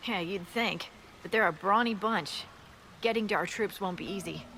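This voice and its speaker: A woman's voice: medium pitch, a bit bright, lightly acted. An actress voicing a blunt, practical woman scout in a video game. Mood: briefing. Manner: blunt, brisk. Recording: game audio with ambient noise.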